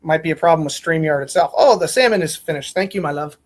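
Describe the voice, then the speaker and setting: A woman's voice: deep voice, lively intonation, fast. A woman hosting a casual podcast, chatting informally and a little hesitantly, and reading fan fiction aloud.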